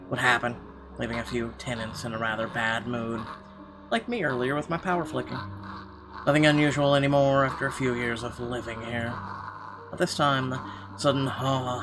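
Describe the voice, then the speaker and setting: A man's voice: mid-range voice, low-quality recording. A man reading a horror visual novel's narration aloud as a gamer, in a dramatic storytelling way with occasional stumbles. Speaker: let's-play gamer. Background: game music.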